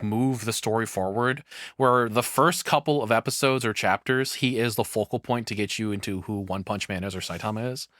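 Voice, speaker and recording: A man's voice: medium pitch, sharp tone, dry recording, studio quality. A man giving casual reaction commentary as a streamer, rambling and swearing as he stops and restarts his thoughts.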